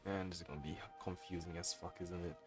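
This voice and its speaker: A man's voice: sits low, flat delivery, quick pace. A man giving casual live let's play commentary, muttering blunt, slangy reactions. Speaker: game streamer. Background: faint game music.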